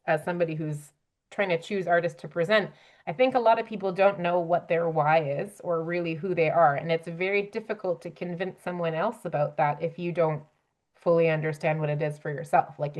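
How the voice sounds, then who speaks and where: Female panel host, lowish voice, conversational and supportive delivery. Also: a little mellow. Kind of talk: hosting a conversational panel discussion.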